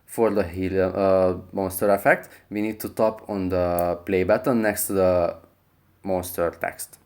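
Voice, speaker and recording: Low-voiced man giving a tutorial. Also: little pitch movement, a little slow, darkish tone. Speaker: tutorial host. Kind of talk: scripted app tutorial voice-over.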